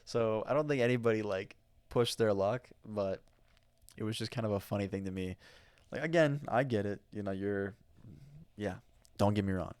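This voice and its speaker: A man's voice: fairly low pitch, normal speed, darkish tone, clean tone, no room sound. An American man hosting a solo podcast, telling a travel story in a rambling, enthusiastic way, with many fillers and restarts. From United States. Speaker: podcast host. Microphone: studio microphone.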